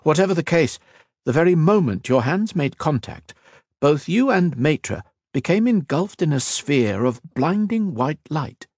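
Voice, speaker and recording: A man voicing a gentle, calm elf woman in a fantasy audiobook, speaking softly in formal, deliberate phrases. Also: fairly high pitch, no room sound, clean studio sound, pitched above the performer's own voice. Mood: calm explaining. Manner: soft-spoken, deliberate, formal.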